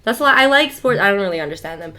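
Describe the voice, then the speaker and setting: A chatty young woman talks as a podcast guest, pitched right in the middle for a woman. She laughs often and fills her sentences with "like" as she tells her stories. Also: animated, fast talker, pushed and bright. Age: young adult. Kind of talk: casual chat.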